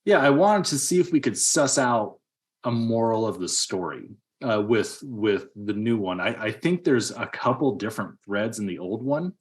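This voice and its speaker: A man hosting a podcast, discussing films casually in a musing, halting way. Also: on the low side, lively intonation. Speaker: podcast host.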